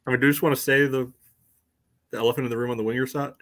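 A man's voice: medium pitch, fairly even intonation, rapid-fire, fairly bright tone. A man in his thirties trading casual sports banter as a co-host on a video call from home. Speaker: soccer podcast co-host. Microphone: video call microphone.

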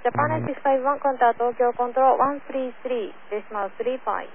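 A woman air traffic controller with a high voice talks over aviation radio. Her calls are short and procedural. The channel is thin and phone-like, with some noise. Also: moderate pace. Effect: aviation radio transmission. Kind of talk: clipped radio phraseology. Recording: tinny, narrowband, noisy radio channel.

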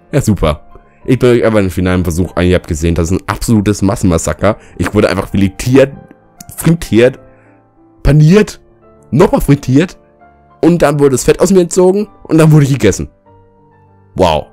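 A young man with a slightly hoarse, cold-worn voice, commentating a fighting game and ranting with lots of swearing. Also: on the low side, sing-song, moderate pace, pauses often. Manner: swears a lot, rants and shouts at the game. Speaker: let's player. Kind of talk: gaming commentary. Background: game music.

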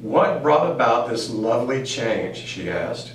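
A man's voice: medium pitch, sing-song, a little slow. A man reading a children's picture book aloud. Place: small school room indoors. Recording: very echoey, distant mic, faint hum.

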